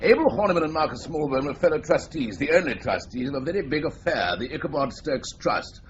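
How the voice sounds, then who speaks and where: An actor playing a senior police officer briefing an inspector, in a clipped, brisk radio drama manner. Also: male voice, a little high, animated, slight background noise, close to an everyday voice. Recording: dull lo-fi radio recording.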